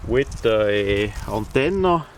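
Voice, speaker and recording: A man presenting an informal, unscripted how-to demonstration in a halting, hesitant way. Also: lowish voice, animated, a little hiss or hum. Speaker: video presenter, radio hobbyist.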